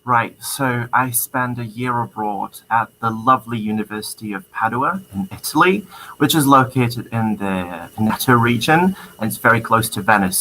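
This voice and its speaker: A young man with a Czech accent answers a host's questions in a fairly low, bright voice. He talks earnestly, pausing on fillers as he gathers his thoughts. Faint noise sits under the line. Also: poor audio. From Czech Republic. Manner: hesitant, many fillers, earnest. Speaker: student guest. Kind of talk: casual interview answers.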